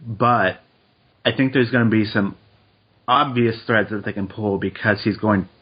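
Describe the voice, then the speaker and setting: Man, low husky voice, podcast co-host, reflective, lo-fi audio. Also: steady tone. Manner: measured, reflective, hedging with uh and I think. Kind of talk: casual chat.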